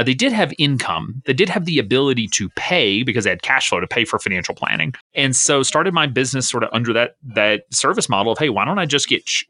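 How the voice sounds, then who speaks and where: A man's voice: medium pitch, pushed and bright. A man in his thirties from the American South with a faint Southern accent, a guest telling his career story energetically and laughing easily. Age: 30s. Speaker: guest, company founder. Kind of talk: interview storytelling.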